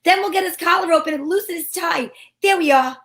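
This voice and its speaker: A breezy female amateur sleuth acted by a woman in an old-time radio mystery. Her high voice is wry and sensible, with a take-charge manner. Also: brisk, fairly bright tone. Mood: urgent, grave. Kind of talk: old-time radio mystery acting with first-person narration.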